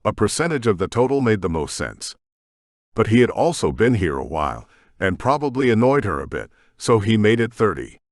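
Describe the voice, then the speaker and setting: A man narrating a science fiction audiobook in a steady, measured way. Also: on the low side, no room sound, clean studio sound. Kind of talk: scripted narration.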